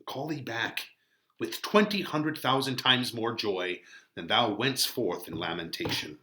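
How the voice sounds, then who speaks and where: A man's voice: medium pitch, expressive, a little slow, bright, forceful tone, voice pushed down. A man voicing an exasperated older friar who scolds and counsels in declamatory Shakespearean verse. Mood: calmer, instructing. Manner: emphatic, declamatory, archaic verse. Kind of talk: dramatic reading of a Shakespeare play.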